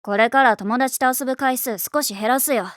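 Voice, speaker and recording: Brash, bright-voiced tomboy schoolgirl. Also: high-pitched, moderate pace, no room sound, clean studio sound. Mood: irritated, defensive. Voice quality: rough, slangy boyish speech. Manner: blunt, defensive. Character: brash, tomboyish, hot-tempered high school girl guitarist. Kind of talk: anime voice acting.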